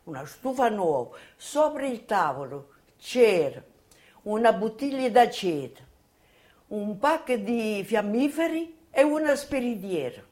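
Elderly female witness, mid-range voice, plainspoken and halting, recounting memories. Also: mid-range voice, very animated, darkish tone. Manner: plain, repetitive, halting, ungrammatical folk speech. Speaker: former colonist giving testimony. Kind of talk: spoken personal recollection.

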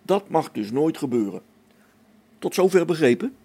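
A man's voice: fairly high pitch, very animated, moderate pace, warm tone, slightly rough. A man playing a practical, patient engineer on a space station in a science fiction radio play, explaining things matter-of-factly. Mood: patient, instructive.

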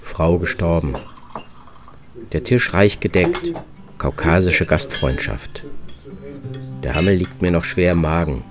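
A man's voice: low-pitched, expressive, slow, long pauses. A man reading scripted first-person radio narration in terse, fragmentary phrases. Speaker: narrator of a radio travel piece. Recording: music behind the voice. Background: music.

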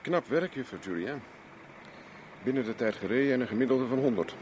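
An actor in a 1970s Dutch radio play, playing a cocky private investigator with a dry, teasing, self-mocking manner. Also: male voice, medium pitch, expressive, brisk, a little mellow, a little hiss or hum, natural voice, low-quality recording. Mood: casual, teasing. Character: wry, cocky male private investigator for hire.

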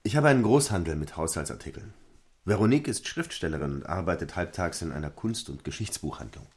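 An actor playing a plain-spoken, outwardly agreeable husband who runs a wholesale business, talking conversationally and emphatically in a naturalistic stage play. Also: male voice, low-pitched, animated, fast. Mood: matter-of-fact.

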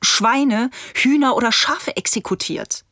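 An actress playing a righteous, eco-minded city mayor in a radio play, articulate and rhetorical. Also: female voice, on the high side, unhurried, pushed and bright, no room sound, studio quality. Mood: formal, indignant.

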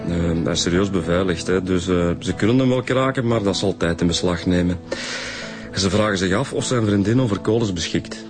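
A male actor as a folksy Flemish detective with a deep voice. He is colloquial and politely sardonic, in a radio drama with music underneath. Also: fairly quick, lightly acted. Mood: matter-of-fact. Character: sardonic, folksy male police inspector, Dams.

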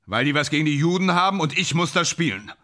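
A man's voice: fairly high pitch, animated, fast, pushed and bright. A man in a radio play acting a vain, tormented stage actor, bitter and self-dramatising, with a theatrical, declamatory manner that swings between muttering and outbursts. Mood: agitated rage.

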